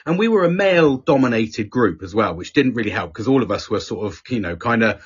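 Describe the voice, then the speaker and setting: A middle-aged man with a husky voice, telling a story in casual, sweary podcast chat and acting out the people he quotes. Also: medium pitch, lively intonation, a little fast. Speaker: podcast host. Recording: dull lo-fi podcast audio.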